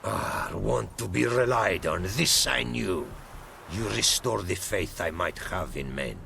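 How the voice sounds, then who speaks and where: A proud, courtly explorer from a fantasy game. His voice is low and creaky, with sweeping melody, and he speaks in ornate, backwards-turned phrases. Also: animated, slow pace, sharp tone, a little hiss or hum, heavily acted. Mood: gracious, grateful. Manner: formal, inverted, poetic phrasing. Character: courtly, philosophical Ophiri merchant explorer, proud and gracious. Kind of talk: video game character acting.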